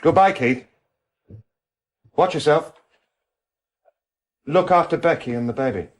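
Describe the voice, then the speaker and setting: A man playing a weary, guarded detective in a radio crime drama, with a husky voice and a terse, dry manner. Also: a little high, measured pace. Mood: firm.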